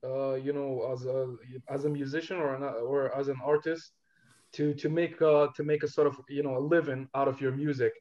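A man from Algeria, a rapper guest talking in a relaxed interview, hesitant and full of fillers. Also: medium pitch, moderate pace, highs cut off. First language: Algerian Darija. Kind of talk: casual interview talk.

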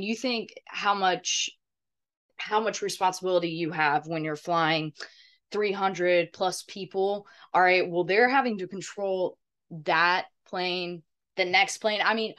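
A woman's voice: lowish voice, a bit bright. A woman co-hosting a podcast, chatting casually in a blunt, informal way. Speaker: podcast co-host. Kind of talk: casual chat.

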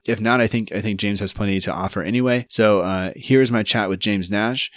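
A man in his thirties hosting a podcast, talking in a relaxed, rambling way with lots of fillers. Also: fairly low pitch, brisk, dry recording. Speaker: podcast host. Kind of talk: casual podcast interview and intro.

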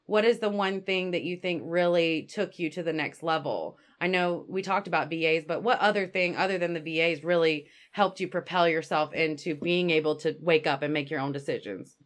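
A woman's voice: low voice, brisk, few pauses. A woman hosting an interview, chatty and encouraging as she asks questions and affirms her guest. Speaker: interview host. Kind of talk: conversational interview.

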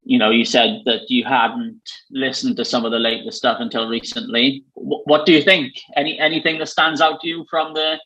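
A man's voice: medium pitch, steady tone, normal speed, bright, forceful tone. Chatty Welsh man, slightly muffled. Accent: South Wales Valleys. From Wales. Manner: chatty, many fillers, rambling. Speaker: host. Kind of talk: casual chat.

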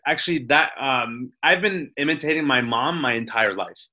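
A young man from the Toronto area talks about his comedy career in a loose, rambling way, full of restarts and casual swearing. His voice is bright and forward. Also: medium pitch, normal speed. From Toronto area, Canada. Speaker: stand-up comedian guest. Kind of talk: casual interview chat.

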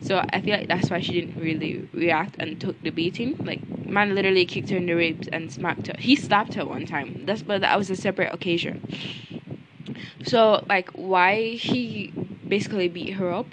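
A woman's voice: lowish voice, fairly even intonation, moderate pace, noisy background. A young woman in a casual book review vlog, ranting bluntly and chattily while she is losing her voice.

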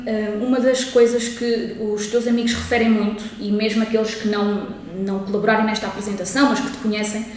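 A woman's voice: medium pitch, level tone, fast talker, hardly any pauses. A woman, a psychologist hosting a podcast interview in a friendly way. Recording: echoey room.

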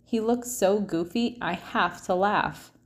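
A woman reading a children's picture book aloud expressively, with drawn-out phrase endings. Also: medium pitch.

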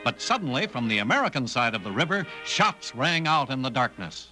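A man's voice: medium pitch, big pitch swings, brisk, lightly acted, poor audio. A man narrating an old-time radio western in a dramatic, scripted style. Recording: vintage radio, loud music and gunshots.

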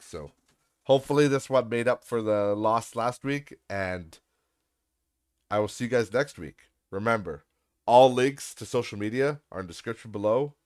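A man's voice: on the low side, a little mellow, clean tone. Nasal ranting young man. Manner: exasperated, profane ranting with filler and restarts. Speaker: podcast host. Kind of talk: solo ranting podcast.

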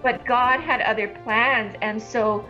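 A woman in her sixties from Hawaii, talking earnestly as an interview guest, with halting restarts. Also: fairly high pitch, fairly even intonation, bright, forceful tone, muffled recording, faint music behind. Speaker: guest, ministry leader. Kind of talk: casual interview talk.

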